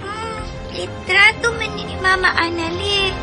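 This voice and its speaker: A woman's voice: extremely high pitch, fairly even intonation, takes it slowly, exaggerated voice. A woman playing a frightened young child in a radio drama, with a Filipino Cebuano accent. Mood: wary. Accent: Filipino, Cebuano-speaking. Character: frightened young child protecting a treasured photo. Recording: loud music behind radio drama voice.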